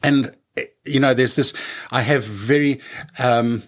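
A man's voice: medium pitch, fairly even intonation, unhurried. Man, fifties, musician guest, rambling, many restarts. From South Africa. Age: 50s. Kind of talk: casual interview conversation.

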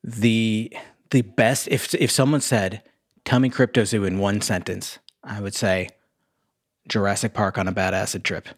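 A young man co-hosting a podcast, chatting casually about a movie in quick, animated bursts. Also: lowish voice, husky, no echo, clean studio sound. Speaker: podcast co-host. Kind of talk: casual movie review chat.

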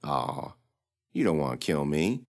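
A man voicing a defiant, sharp-tongued young girl with one arm, speaking in a cheeky, needling way. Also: very deep voice, big pitch swings, measured pace, full character voice.

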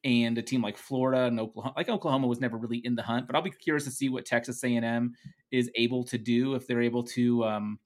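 A man from the Netherlands, co-hosting a casual sports podcast, easygoing and quick to laugh. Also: medium pitch, fairly even intonation. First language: Dutch. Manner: easygoing, laughs often, repeats words. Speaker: co-host.

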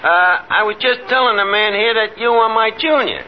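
An actor playing a scheming, wisecracking tavern manager who stammers and bluffs in a 1950s radio comedy. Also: male voice, sits high, normal speed, natural voice. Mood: bluffing. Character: scheming, wisecracking male tavern manager posing as a proud dad. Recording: muffled, noisy 1950s radio recording.

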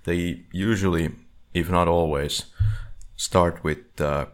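A man co-hosting a casual analytical discussion, speaking in a halting, hedging way. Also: sits low, fairly even intonation. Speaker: podcast co-host.

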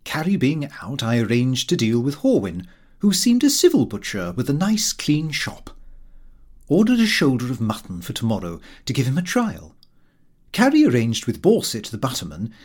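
A male reader plays a middle-aged Victorian clerk, narrating his comic diary for an audiobook. He is fussy, pompous and entirely earnest. His intonation is very animated, rising and falling widely as he sets out his small domestic affairs with great self-satisfaction. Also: medium pitch, husky. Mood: prim, mildly put out.

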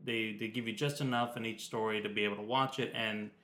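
A lowish male voice rambles through casual talk, sick with a cold and searching for words with frequent ums. The room around him is echoey and reflective. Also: fairly even intonation, fairly quick, bright, forceful tone. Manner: meandering, hesitant with many ums. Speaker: podcast co-host. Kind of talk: casual chat.

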